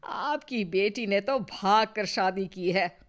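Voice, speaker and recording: A woman's voice: mid-range voice, slow pace, close to an everyday voice. A woman playing a sneering mother-in-law. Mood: scolding. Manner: scornful, scolding. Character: sharp-tongued older mother-in-law, suspicious and taunting. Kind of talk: cartoon voice acting.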